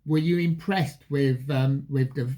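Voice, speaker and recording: A film reviewer addressing viewers in an emphatic, enthusiastic manner with deliberate phrasing. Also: medium pitch, fairly even intonation, mellow.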